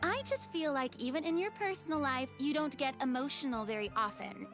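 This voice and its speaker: A woman's voice: high voice, a little fast, natural voice. A woman voicing a confident young noblewoman in a video game, playful and teasing toward her former subordinate. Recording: game audio with music behind.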